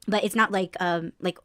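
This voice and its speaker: A woman hosting a podcast in casual chat, loud in tone, laughing often and swearing freely, with little bass in her voice. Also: no room sound, clean studio sound. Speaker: podcast host.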